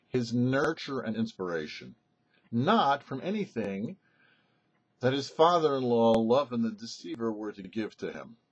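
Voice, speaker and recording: A man speaks as a rabbi giving Torah commentary. His medium-pitched voice is husky and moves through lively rises and falls as he explains deliberately, stressing key phrases. The recording is slightly dull and lo-fi. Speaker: rabbi presenting a weekly Torah portion show. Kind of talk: religious teaching, scripted-sounding Torah commentary.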